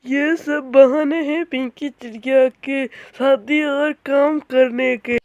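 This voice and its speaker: Woman playing an old cartoon mother bird, high voice, doting, fussing, pitying, disapproving. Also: fairly even intonation, slow pace, muffled recording. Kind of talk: Hindi children's cartoon voice acting.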